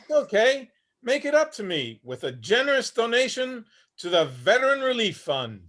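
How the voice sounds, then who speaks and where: Guy doing a wry streetwise old homeless vet, pretty high voice, really up and down, tired but blunt. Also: very animated, normal speed, fairly bright tone, heavily acted. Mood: sardonic, gruff. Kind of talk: staged reading of a play. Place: remote video-call reading.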